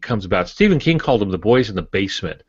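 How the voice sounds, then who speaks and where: Older man, low voice, dry muffled sound. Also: very animated, breathy edge, no room sound. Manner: measured, explanatory. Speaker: podcast co-host, writer and former preacher. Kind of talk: casual instructive discussion. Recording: muffled lo-fi podcast audio.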